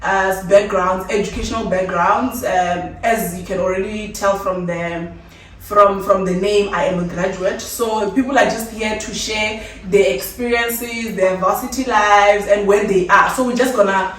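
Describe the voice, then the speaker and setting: A woman's voice: medium pitch, steady tone, unhurried, a bit bright. Echoey South African woman podcast host. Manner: informal, playful, teasing. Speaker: host of an interview podcast series. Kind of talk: casual conversational interview. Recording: echoey room, distant mic, faint noise.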